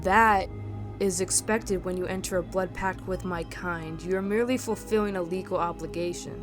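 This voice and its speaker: A woman's voice: lowish voice, steady tone, moderate pace, over music, natural voice. A woman playing an imperious, ancient vampire-werewolf necromancer in an anime-style audio drama, with formal, haughty, precise diction.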